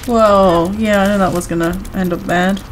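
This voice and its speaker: A woman chatting casually while playing a video game, her voice a little hoarse from talking too much. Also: mid-range voice, fairly even intonation, normal speed, a little hiss or hum. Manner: chatty, self-reassuring, talks to viewers. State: voice going hoarse from overuse. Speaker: gaming streamer. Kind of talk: casual gameplay commentary.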